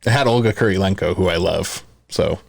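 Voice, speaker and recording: A man with a lowish voice in a very dry, close recording without room echo. He chats as a podcast co-host, offering opinions with a wry, conversational touch. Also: brisk, vocal fry. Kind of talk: casual group podcast chat.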